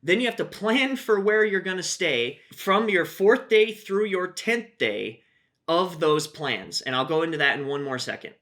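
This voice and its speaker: A man with a fairly high, bright voice runs his own channel, talking casually about travel news. He keeps a wry, chatty tone and drops in frequent filler "uh"s as he walks through each point. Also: expressive, normal speed. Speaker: YouTube host.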